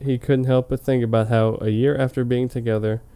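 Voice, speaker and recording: A man with a soft-edged, relaxed tone reads a story aloud with mock drama. His voice is smooth and clear, and he slips into casual, amused commentary between hammy narrated lines. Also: mid-range voice, brisk. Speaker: reader of fan fiction on a comedy web show. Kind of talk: mock-dramatic reading with casual joking asides.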